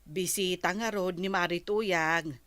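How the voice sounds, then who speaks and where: A woman's voice: lowish voice, steady tone, unhurried, a bit bright, no echo. An actress playing a curious neighbor woman who gossips about a visitor. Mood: gossiping. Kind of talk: Ilocano radio drama acting.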